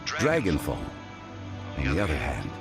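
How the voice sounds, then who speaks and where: A very deep, unhurried voice: a dignified ancient scholar who weighs every word. The speech is formal, calm and exact, as in a game cutscene, with loud music underneath. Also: male voice, gravelly, full character voice. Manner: measured, formal and composed. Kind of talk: game character dialogue.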